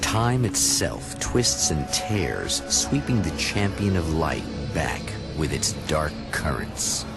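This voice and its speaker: Deep, gravelly, ominous anthology narrator. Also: male voice, sing-song, loud music behind the voice, heavily acted. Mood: ominous, portentous. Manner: portentous, measured, theatrical. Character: ominous male narrator of an eerie television anthology. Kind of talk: dramatic scripted narration in vintage anthology show manner.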